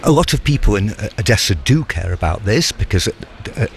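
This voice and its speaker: A man's voice: fairly low pitch, big pitch swings, moderate pace, creaky, a little hiss or hum. A male reporter answering the host on a radio programme, thoughtful and filling with "you know". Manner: thoughtful, many fillers. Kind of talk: radio interview.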